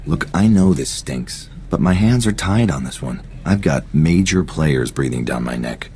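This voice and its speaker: A man's voice: a bass voice, lively intonation, measured pace, a little mellow, a little hiss or hum. An actor playing a worldly, laid-back male intelligence agent in a video game, talking in a casual, frank way. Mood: apologetic, guarded. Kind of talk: scripted video game cutscene acting.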